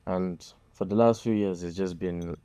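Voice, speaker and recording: A man's voice: low voice, steady tone, moderate pace, warm tone, clear, resonant tone, no room sound. A young man from Nigeria, a musician being interviewed, talking casually and hesitantly with many fillers. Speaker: guest musician.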